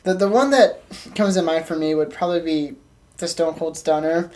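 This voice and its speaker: Low-pitched woman chatting casually on a podcast. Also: normal speed, a little mellow, low-quality recording. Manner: informal, frequent "like". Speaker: podcast co-host. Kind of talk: casual chat.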